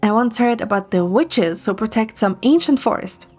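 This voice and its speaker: A woman's voice: fairly high pitch, moderate pace, faint music behind. A woman acting a playful, chatty, curious young woman who teases her friend, in an amateur audio drama. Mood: excited, curious. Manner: teasing, animated, expressive.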